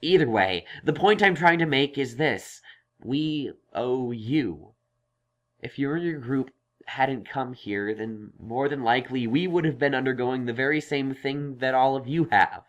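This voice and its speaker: A man's voice: medium pitch, measured pace, highs cut off, lightly acted. A man voicing a thoughtful, reassuring young male unicorn scholar in an audio story, explaining things earnestly. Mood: earnest, gentle. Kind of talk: acted audio story dialogue.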